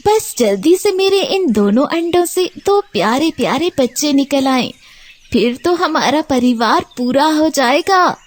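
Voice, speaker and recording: A woman acts a small mother bird from a children's cartoon, very high in pitch. She is brave and desperate, pleading and emphatic as she guards her young. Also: lively intonation, unhurried. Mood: tender, hopeful. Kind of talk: Hindi children's cartoon voice acting.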